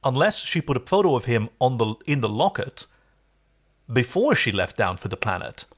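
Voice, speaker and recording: A man's voice: medium pitch, very animated, moderate pace. A man co-hosting a podcast, casually and reflectively recapping a television episode. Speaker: podcast co-host.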